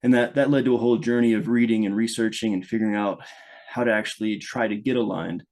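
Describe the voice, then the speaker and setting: Low-voiced man from Louisiana. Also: fairly even intonation, fast talker, a little mellow. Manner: thoughtful, restarts often, speeds up when engaged. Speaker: guest, product manager. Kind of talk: conversational podcast interview.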